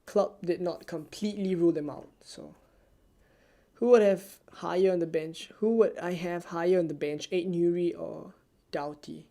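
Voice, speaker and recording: A streamer from Singapore, answering viewer questions in a live stream with a soft, low-key, almost ASMR-like delivery. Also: steady tone, moderate pace, creaky. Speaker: fantasy football streamer.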